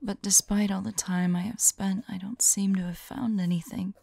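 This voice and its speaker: A woman playing an anguished, lovelorn mage in an ASMR audio roleplay, speaking her journal entries in a soft, breathy, halting and mournful voice. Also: medium pitch, fairly even intonation. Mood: weary, pained. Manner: hushed, halting, mournful, intimate. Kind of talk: slow dramatic monologue, ASMR audio roleplay.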